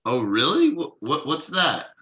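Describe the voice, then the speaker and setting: A man's voice: a little high, huge pitch swings, measured pace, sharp tone, full character voice. A man playing a testy, sarcastic father in a sitcom table read, dry and needling. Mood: indignant, sarcastic. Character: testy, sarcastic husband and father of a small girl.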